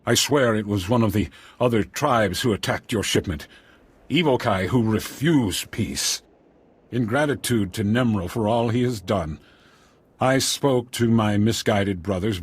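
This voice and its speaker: Game role: humble alien elder chief. Rough, breathy voice, dragging pace, grave and formal. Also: male voice, fairly low pitch, no echo. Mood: solemn, grateful. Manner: halting, formal, solemn phrasing. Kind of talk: video game voice acting.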